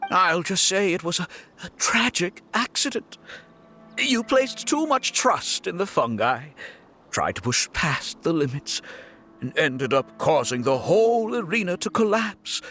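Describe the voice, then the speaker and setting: A man's voice: sits high, animated, slow, sharp tone, slight background noise, exaggerated voice. An actor playing a smug, scheming young male villain in a video game, theatrical and gloating, drawing out his emphasis. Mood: smug menace.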